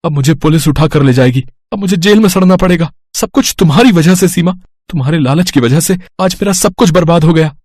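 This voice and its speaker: A man's voice: fairly high pitch, a little fast, darkish tone, no room sound, clean studio sound, lightly acted. A man playing a hapless, anxious young husband in an audio story, pleading and fretful. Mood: despairing.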